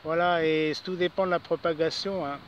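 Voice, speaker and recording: Male amateur radio operator, high-pitched, casual exchange, muffled outdoor recording. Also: steady tone, moderate pace. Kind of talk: casual radio contact chat. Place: outdoors in a park. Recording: band-limited outdoor radio recording, faint noise.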